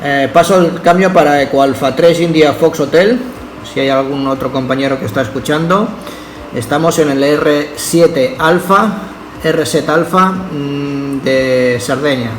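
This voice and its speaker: A man with a slightly high voice talks casually as a radio amateur, giving call signs and checking in as he goes. His phrasing is hesitant. The sound is roomy and echoey, with faint noise. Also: unhurried. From Catalonia, Spain.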